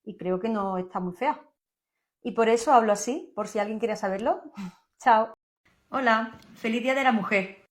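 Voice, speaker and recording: A woman from Seville with an Andalusian accent, softly and warmly walking viewers through her makeup products. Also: a little high, moderate pace. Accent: Andalusian Spanish, Seville. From Seville, Spain. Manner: soft, enthusiastic product run-through. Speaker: makeup content creator. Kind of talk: casual makeup tutorial to camera. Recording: music playing behind the voice.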